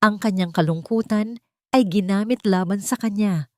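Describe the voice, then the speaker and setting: A woman's voice: medium pitch, moderate pace, dry recording, clean studio sound, natural voice. Woman voicing a scheming, emotional male heir. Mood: falsely tearful. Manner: emotional, pleading speech. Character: scheming rich young male heir, falsely grieving, panicky when exposed.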